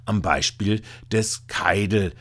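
A man narrating a scripted report with commentary, speaking haltingly, with hesitations. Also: lowish voice, fairly bright tone.

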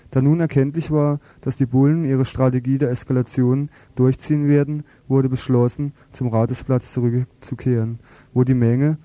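Mellow man reading a scripted news report. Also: medium pitch, no room sound.

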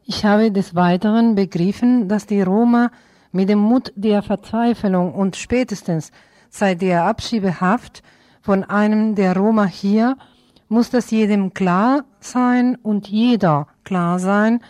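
A woman's voice: medium pitch, slow, darkish tone, dry recording. A woman making a public appeal in an emphatic way, repeating her phrases.

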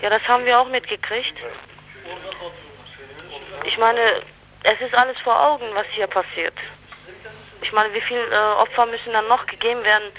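A woman's voice: fairly high pitch, fairly even intonation, moderate pace, pauses often, bright, forceful tone, poor audio. A woman speaking spontaneously as an interviewee in a radio report, giving her view. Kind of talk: spontaneous spoken opinion.

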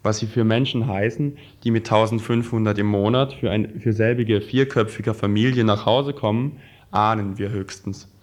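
A man with a fairly low voice reads a scripted political text aloud as a radio presenter. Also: steady tone, moderate pace.

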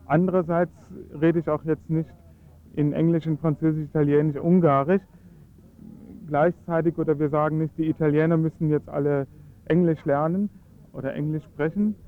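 A man's voice: a little high, steady tone, normal speed, narrow, tinny audio. A man speaking German as his first language, earnestly explaining his views in a radio interview with frequent hesitations. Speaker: Esperanto advocate.

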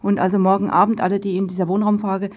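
A woman's voice: mid-range voice, level tone, fast talker, lo-fi sound. A woman hosting a radio news magazine, presenting partly from a script and putting questions to guests. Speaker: radio host.

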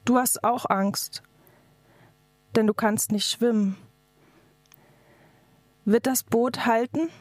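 A woman's voice: on the high side, steady tone, slow pace, pauses often, no echo. A woman activist reading out a scripted political speech. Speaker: activist speaker.

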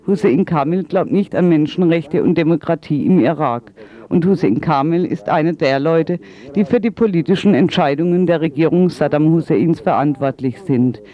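Man, interpreter, somewhat high pitch, voice-over translation, slightly muffled broadcast sound.

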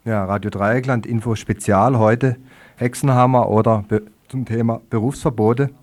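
A man's voice: mid-range voice, fairly even intonation, normal speed, a little mellow, slight background noise. A man hosting a live studio radio interview in an informal, slightly hesitant way, searching for his words. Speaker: radio host.

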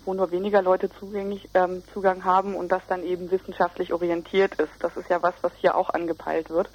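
A young woman explaining things as an interviewed student representative, hesitant and searching for words. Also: mid-range voice. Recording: muffled lo-fi radio recording.

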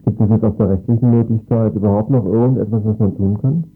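A man's voice: on the low side, fairly even intonation, fast talker, phone-quality sound, soft background music. A man working as a radio interviewer, asking formal news questions.

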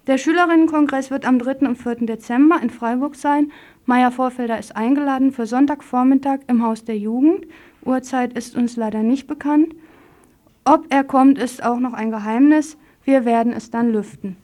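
A woman's voice: sits high, normal speed, a little mellow. A woman presenting scripted news items on community radio.

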